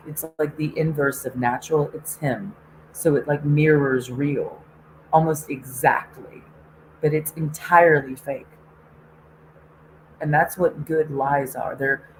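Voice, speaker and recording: A woman's voice: low voice, a little mellow, slight background noise, poor audio. A woman hosting an online show in a casual, sarcastic rant, often laughing and swearing. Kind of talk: casual ranting monologue.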